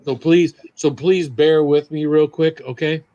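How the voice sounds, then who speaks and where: A man hosting a casual livestream with a fairly high voice, chatting easily and thanking his supporters. His voice is close and dry, with no room echo. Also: steady tone, unhurried, warm tone, highs cut off. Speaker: livestream host.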